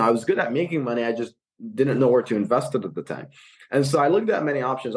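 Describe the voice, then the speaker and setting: A young man from Iraq, a guest on a podcast interview, telling his story earnestly with frequent fillers and restarts. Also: mid-range voice. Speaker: guest, real estate investor. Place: California.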